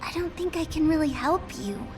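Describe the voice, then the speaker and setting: A very high, childlike voice of an anxious little girl acted by a woman. She is guarded and pleading, like a character in a story-driven video game. Music plays behind her. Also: a little fast, darkish tone, natural voice. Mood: guarded, reluctant. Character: pleading young girl, anxious about getting in trouble.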